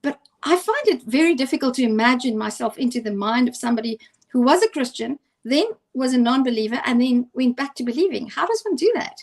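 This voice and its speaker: Afrikaans-accented woman, halting chat. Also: high-pitched, lively intonation. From South Africa. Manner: hesitant, many restarts and fillers. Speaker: teacher and co-host. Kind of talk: casual video-call conversation.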